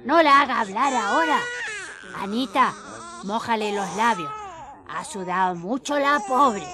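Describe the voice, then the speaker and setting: A caring, bossy villager in a radio play. Her voice sits fairly high, with a chattering crowd behind her. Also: expressive, a little slow. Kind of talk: radio drama acting.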